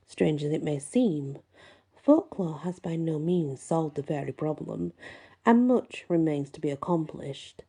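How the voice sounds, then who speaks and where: A woman host reading folklore aloud and musing on it, with deliberate, emphatic phrasing. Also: deep voice, warm tone. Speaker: host and reader. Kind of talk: reading a folklore book aloud with casual asides.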